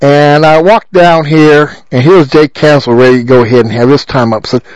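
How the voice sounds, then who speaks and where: A man with a loud voice, a political candidate telling a long rambling story with many repeats and fillers. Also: fairly high pitch, fairly even intonation, normal speed, dry recording, muffled recording. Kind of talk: rambling monologue.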